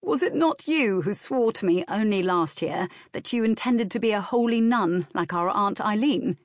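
A woman's voice: mid-range voice, expressive, normal speed, no echo, studio quality, pitched above the performer's own voice, natural voice. A woman voicing an earnest, outspoken young man in an audiobook, emphatic and vehement. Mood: teasing.

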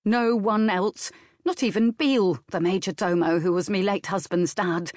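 A woman voicing a motherly, brisk older servant woman with old-fashioned rustic speech. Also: mid-range voice, no echo, studio quality, close to an everyday voice. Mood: sharp.

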